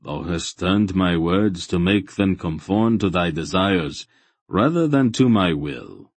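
A stern, ancient god as a male narrator plays him, speaking in a very low, slightly rough voice. He is grave and majestic, issuing archaic commands slowly and solemnly in a fantasy storybook. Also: lively intonation, no echo, heavily acted. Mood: grave reproach. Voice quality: soft, solemn voice.

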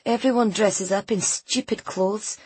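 A woman's voice: medium pitch, measured pace, a little mellow, slightly rough, no echo, pitched above the performer's own voice. A woman voicing a sullen, sarcastic teenage girl from a storybook, dismissive and exasperated, leaning on her words. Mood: sullen disdain. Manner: dismissive, drawling emphasis.

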